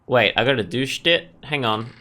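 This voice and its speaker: A man's voice: medium pitch, lively intonation, moderate pace, sharp tone. A man hosting a gaming video, giving casual, rambling commentary as he thinks aloud. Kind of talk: casual let's play commentary.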